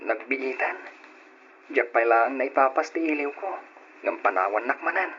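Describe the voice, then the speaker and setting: A man's voice: mid-range voice, lively intonation, moderate pace, close to an everyday voice. A man playing a teasing young boyfriend. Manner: teasing, playful. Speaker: boyfriend character. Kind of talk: radio drama acting. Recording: dull lo-fi radio recording with faint hiss.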